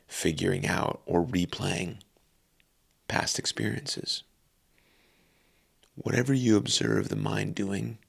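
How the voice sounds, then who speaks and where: A man leading a guided meditation calmly and unhurriedly, in short phrases with long pauses. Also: lowish voice, creaky, slightly rough.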